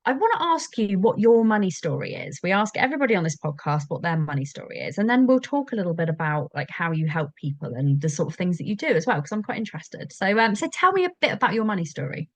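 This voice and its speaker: A woman's voice: on the low side, sing-song, fast talker, few pauses, no room sound. A chatty woman hosts a podcast interview, her voice bouncing up and down as she talks. She laughs easily and keeps things casual. The sound is close and dry, with no room around it. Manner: bubbly, chatty, laughs often. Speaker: podcast host.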